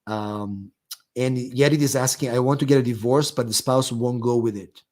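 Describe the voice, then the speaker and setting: A man's voice: medium pitch, normal speed, darkish tone. A middle-aged man from Israel with an Israeli accent, an attorney hosting a live question-and-answer stream, talking casually with many fillers and restarts.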